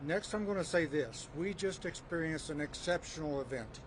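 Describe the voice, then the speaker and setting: Soft spoken guy speaking at an outdoor event, passing traffic. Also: a little high, lively intonation, moderate pace. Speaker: uncle of a detainee. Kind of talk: public remarks at a press event. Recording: outdoor street noise.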